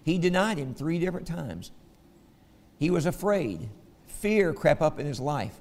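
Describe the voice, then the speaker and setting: Gravelly Southern preacher in a hall. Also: male voice, a little high, expressive, unhurried, a little mellow. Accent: Southern American. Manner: folksy, asks the congregation "okay?" often. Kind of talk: sermon.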